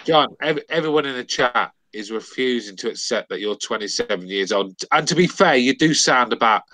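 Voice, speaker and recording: A man's voice: medium pitch, lively intonation, brisk, pushed and bright, a little hiss or hum. A man hosting a casual podcast chat, cutting in and often restarting and repeating his phrases.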